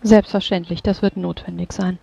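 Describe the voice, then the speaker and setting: Creaky, mellow female mage interrogator played by a man. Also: sits high, level tone, highs cut off, voice pushed up, exaggerated voice. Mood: stern skepticism. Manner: measured, formal, inquisitive. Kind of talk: tabletop roleplay in character.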